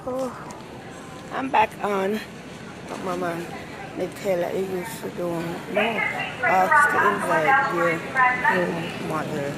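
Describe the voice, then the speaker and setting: A woman's voice: fairly low pitch, lively intonation, slow pace, long pauses. Rambling Jamaican woman host amid traffic. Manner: rambling, chatty, greets viewers by name. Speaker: talk show host. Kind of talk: casual live-stream chat. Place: busy city station area, in and out of doors. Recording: loud street and traffic noise.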